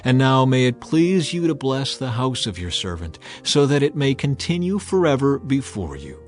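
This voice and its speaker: A man's voice: mid-range voice, very animated, moderate pace, faint music behind, natural voice. A man playing a humble king who prays earnestly and declaims with reverent gratitude, in a dramatized scripture reading. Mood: earnest pleading.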